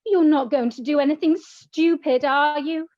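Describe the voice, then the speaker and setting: An actress playing a naive, dramatic goddess of love in a comic radio play, speaking with theatrical incredulity, on a slightly dull, roomy recording. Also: female voice, high voice, moderate pace, darkish tone, close to an everyday voice. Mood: incredulous, concerned.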